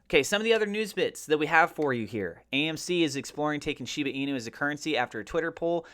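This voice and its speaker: A man's voice: fairly high pitch, lively intonation, quick pace, fairly bright tone. A man hosting a finance video, talking casually to viewers in an emphatic, colloquial way with pointed rhetorical questioning. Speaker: finance and crypto video host. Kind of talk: casual market commentary to viewers.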